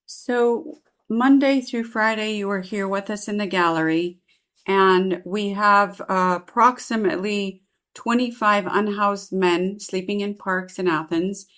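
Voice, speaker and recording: A woman's voice: mid-range voice, measured pace, a bit bright. A woman hosting an indoor gallery conversation. She speaks fluently and at length, linking long sentences together without strain. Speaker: host, volunteer organizer. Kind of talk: interview conversation.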